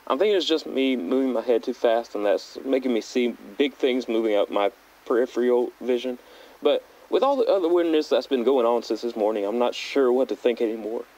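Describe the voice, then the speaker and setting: Dark-voiced young hiker played by a man on dull tape. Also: medium pitch, a little fast, natural voice. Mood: creeped out. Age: young adult. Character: uneasy teenage male backpacker keeping audio diary. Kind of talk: found-footage audio log narration. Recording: dull lo-fi handheld tape recorder.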